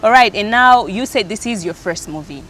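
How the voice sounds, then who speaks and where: Talkative lady interviewer, mid pitch, little traffic noise around. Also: expressive, normal speed, fairly bright tone. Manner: chatty, laughs easily, prompts with "mm-hmm". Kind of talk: casual interview.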